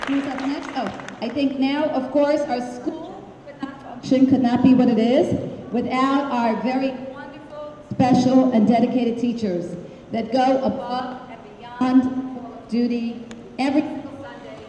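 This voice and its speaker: A woman with a high but mellow voice hosts a school graduation and introduces each teacher with long lists of praise. Her voice echoes heavily around a large hall with a long tail. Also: fairly even intonation, unhurried, a faint crowd. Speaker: school director and ceremony host. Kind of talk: ceremony introductions.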